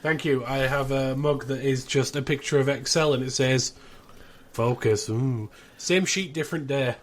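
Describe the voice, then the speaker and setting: A man's voice: mid-range voice, moderate pace. A man hosting a casual podcast, bantering in a dry, self-deprecating way. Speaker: podcast host.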